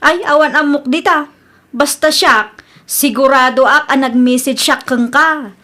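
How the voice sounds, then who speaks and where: A woman playing a brisk, self-assured young niece with an Ilocano accent in a Filipino radio comedy drama. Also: sits high, pushed and bright. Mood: defensive.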